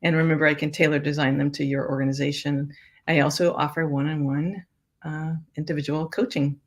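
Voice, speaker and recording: A woman's voice: sits low, little pitch movement, a little fast. An older woman hosting an interview show, talking casually with many fillers and restarts. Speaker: host.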